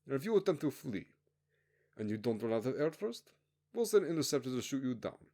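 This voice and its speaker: A man acts out a hard military base commander for a story reading. He speaks up forcefully, pitched above the narrator's low register, and hands out orders with open contempt. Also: big pitch swings, fairly quick. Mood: scornful, commanding. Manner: barking, scornful, commanding.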